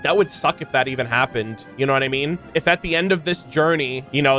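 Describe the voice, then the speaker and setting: A man giving casual, animated and opinionated commentary on video game lore in an informal way. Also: mid-range voice.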